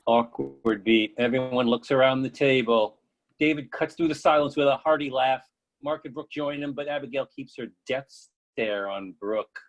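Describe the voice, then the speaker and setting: A man's voice: mid-range voice, moderate pace, highs cut off. Guy narrating stage directions at a play reading, mid-range voice. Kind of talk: scripted narration.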